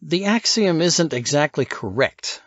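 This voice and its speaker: Man acting a tense, methodical man, animated pitch. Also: husky, no echo, highs cut off, full character voice. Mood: tense, grave. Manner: deliberate, methodical. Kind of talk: audiobook character dialogue.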